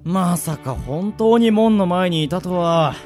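A man playing an easygoing middle-aged swordsman mentor in a radio drama, moving between polite formality and gruff casual talk. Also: sits high, lively intonation, slow, darkish tone, faint music behind. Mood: gruff, teasing. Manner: switches between smooth polite formality and rough casual drawl. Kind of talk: anime-style radio drama acting.

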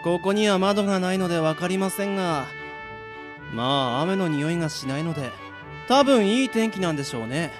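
A man's voice: sits high, lively intonation, a little fast, over music. A man playing a proud, sardonic demon beast in an anime-style radio drama, polite, composed and condescending. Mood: calm, dry.

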